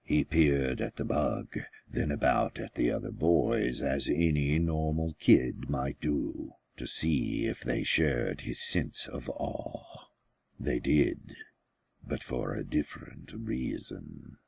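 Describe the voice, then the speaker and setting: A man with a gravelly, husky voice reading his own story as an author, in deliberate, measured audiobook narration with pauses. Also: very deep voice, darkish tone, no echo, clean studio sound. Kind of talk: slow scripted audiobook narration.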